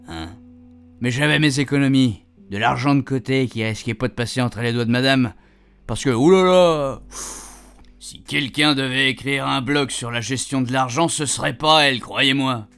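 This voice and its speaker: A man's voice: medium pitch, fairly bright tone, lightly acted. A man playing a chatty, cheeky retired grandpa who rambles colloquially through a radio fiction monologue. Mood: fond, dreamy, then teasing, fond. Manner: rambling, colloquial, repeatedly checking his puns landed. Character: chatty retired grandpa, cheeky, self-amused, homespun storyteller.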